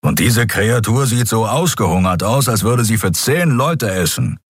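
A man voicing a hulking, fanged male beast-giant who grumbles and growls in a gruff voice. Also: lowish voice, lively intonation, moderate pace, no room sound, clean studio sound, voice pushed up. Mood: grumbling.

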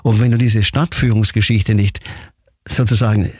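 A man from southern Germany speaking as an interview guest, a city tour guide telling stories in a halting, earnest way. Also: on the low side, level tone, darkish tone, husky, no echo, studio quality. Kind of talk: casual interview storytelling.

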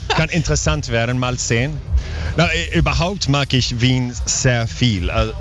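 A Swedish man talks in careful, broken German, pausing often to hunt for the right word. He sounds playful and easygoing. Loud music plays behind him outdoors. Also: mid-range voice. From Sweden. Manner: hesitant, searching for words, playful. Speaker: band frontman guest. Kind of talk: casual festival interview.

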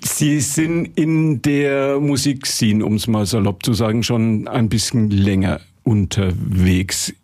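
A man hosting a regional radio interview, asking questions in a measured, friendly way. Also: fairly low pitch, darkish tone. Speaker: radio host.

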